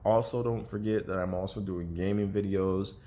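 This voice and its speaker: Stuffy-nosed young man, deep voice. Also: steady tone, normal speed, mellow, slight background noise. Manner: rambling, many fillers and restarts. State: congested. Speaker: vlogger. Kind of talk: casual vlog chat to camera.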